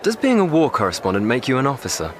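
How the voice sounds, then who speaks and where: An actor playing an educated, articulate young English private of the First World War, speaking formally with dry irony in an audio drama. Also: male voice, mid-range voice, huge pitch swings, fast talker. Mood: dry, composed. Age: young adult. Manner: formal, wordy, dryly ironic.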